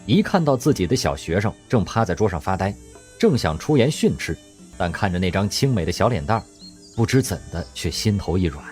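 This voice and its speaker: A man narrating a radio drama in scripted storytelling style, expressive and lively in delivery. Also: lowish voice.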